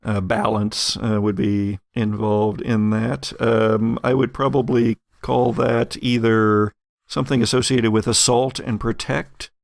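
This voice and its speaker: A man narrating as game master of a tabletop roleplaying game, explaining things in a halting, carefully qualified way. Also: on the low side, little pitch movement, creaky, no echo, clean studio sound.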